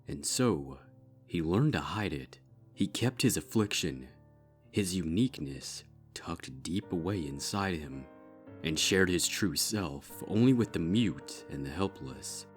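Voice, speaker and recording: A man reading dark fiction as a scripted narrator, measured and with dramatic pauses. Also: low voice, animated. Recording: music behind the voice.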